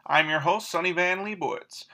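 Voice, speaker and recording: A man's voice: high voice, moderate pace, sharp tone. A man playing a peppy 1940s radio variety show host with folksy, showy announcer patter.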